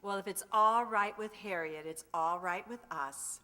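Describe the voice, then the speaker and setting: A woman playing a middle-aged wife, affectionate and gently chiding, in old-time radio comedy style. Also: medium pitch, a little slow. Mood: coaxing, affectionate. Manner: coaxing, chiding. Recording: reverberant hall recording.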